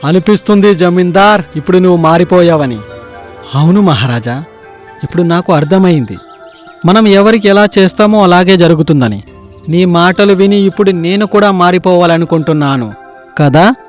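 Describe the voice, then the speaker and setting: Male actor as earnest storybook courtier, very high male pitch, respectful, declamatory, light music bed. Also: normal speed, lightly acted. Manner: formal, declamatory dialogue. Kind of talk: animated moral story voice acting.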